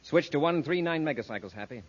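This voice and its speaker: An actor playing a decisive, authoritative space patrol commander, giving brisk, firm orders in 1950s radio serial style. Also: male voice, fairly high pitch, animated. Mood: firm, urgent. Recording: dull, noisy old radio recording.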